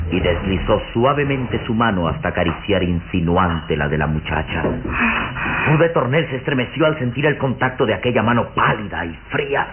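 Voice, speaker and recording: A man as a solemn, deliberate narrator of an old radio drama. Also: low voice, slight background noise. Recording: muffled old radio recording. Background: dramatic music.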